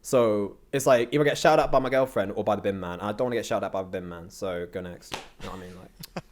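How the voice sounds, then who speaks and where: A man's voice: fairly low pitch, fast talker, slight background noise. A young man chatting casually as an interview guest, rambling along in a slangy, jokey way. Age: young adult. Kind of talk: casual chat.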